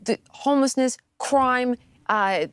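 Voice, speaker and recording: A woman from California, talking to a guest in an emphatic way, rising to exasperated peaks with quick bursts. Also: high voice, clean tone, no echo. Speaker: guest speaking to a political figure. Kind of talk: impassioned conversational monologue.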